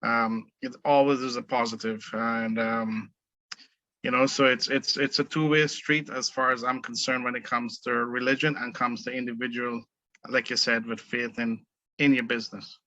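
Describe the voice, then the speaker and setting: A middle-aged man from the Caribbean talks in a measured, earnest way, often pausing on fillers and restarting his sentences. His voice is bright and forward. It is recorded dry and close, with a slightly dull, muffled sound. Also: medium pitch, flat delivery, no room sound. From Caribbean, born in Trinidad, raised in Barbados. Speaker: guest, entrepreneur. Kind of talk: casual interview conversation.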